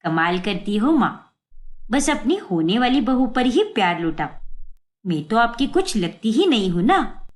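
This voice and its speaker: A woman voicing a mock-jealous young daughter with a pouty, teasing manner in an animated story. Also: fairly high pitch, unhurried, voice pushed down. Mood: playfully sulky. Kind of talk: animated moral story acting.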